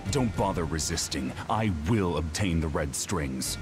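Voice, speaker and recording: Casting: imperious game villain, very low voice, obsessive and world-weary, deliberate contemptuous delivery, over prominent music. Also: male voice, animated. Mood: bored menace. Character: cold, obsessive male time traveler villain, scornful and weary. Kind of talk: dramatic video game acting.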